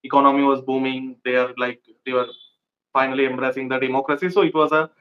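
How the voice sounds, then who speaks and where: A man's voice: medium pitch, little pitch movement, fast, highs cut off. A man hosting an interview channel, asking casual questions eagerly with lots of fillers. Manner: enthusiastic, filler-heavy questions.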